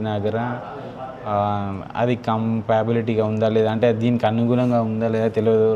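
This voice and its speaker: A man's voice: on the low side, highs cut off. A man with a Telugu accent talking informally and thinking aloud as he casually walks through a product. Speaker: motovlogger unboxing and installing gear. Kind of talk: casual unscripted product walkthrough.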